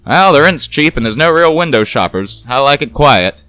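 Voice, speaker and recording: A man's voice: medium pitch, lively intonation, normal speed, bright, forceful tone, clear, resonant tone, no echo, faint music behind, voice pushed up. A man voicing a brash, sardonic ex-cop boat captain in a noir detective story, full of glib wisecracks. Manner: glib, sarcastic, rambling wisecracks.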